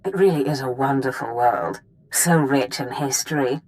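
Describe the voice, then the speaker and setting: Low-voiced, gracious Sith mistress. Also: female voice, normal speed, a little mellow, rough recording. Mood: gracious, coaxing. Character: scheming Sith lord mistress, gracious and coaxing. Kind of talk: scripted video game dialogue.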